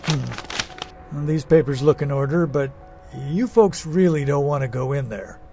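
Man playing a higher-pitched folksy security guard. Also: measured pace, warm tone, faint music behind. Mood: amused, cautioning. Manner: patronizing, ominous storytelling. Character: folksy, doom-warning male stadium security guard. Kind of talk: audio drama acting.

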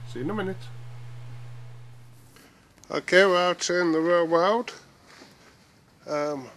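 A middle-aged man chatting casually and haltingly as he demonstrates gear, thinking aloud. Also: a little high. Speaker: hobbyist presenter demonstrating radio gear. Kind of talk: casual hands-on demonstration chat. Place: small room at home and back garden. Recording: noisy amateur camera audio.

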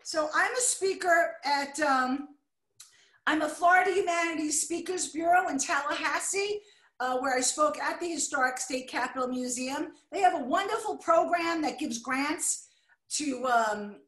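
An older American woman giving a chatty, excitable slideshow lecture on a video call, now and then apologizing when the slides skip. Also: high-pitched, normal speed. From United States. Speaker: art deco lecturer. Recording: echoey room.

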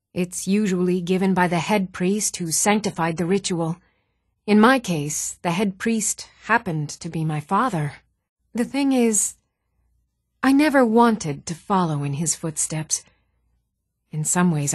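An actress playing an earnest, even-toned woman in a fantasy video game. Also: lowish voice, a little slow, no room sound, studio quality. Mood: solemn, sincere. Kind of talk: video game character dialogue.

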